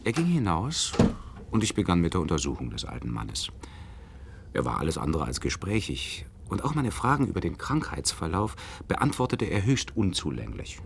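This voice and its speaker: An expressive, low-voiced earnest doctor. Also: male voice, moderate pace, creaky, a little hiss or hum, exaggerated voice. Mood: earnest, polite. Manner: polite, expressive, eager storytelling. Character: earnest, courteous male doctor recounting a mystery. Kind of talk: German radio play acting.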